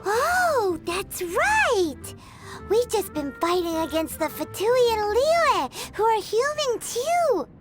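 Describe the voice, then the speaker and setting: A woman voicing a tiny, chirpy fairy-like girl companion who bubbles with excitement and refers to herself in the third person. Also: very high voice, takes it slowly, full character voice. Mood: excited. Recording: game audio with music.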